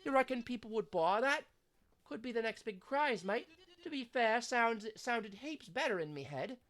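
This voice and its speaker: A woman's voice: a little high, lively intonation, moderate pace, natural voice. A woman voicing a cheeky, upbeat teen zombie with a slangy, easygoing way of talking. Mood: chatty.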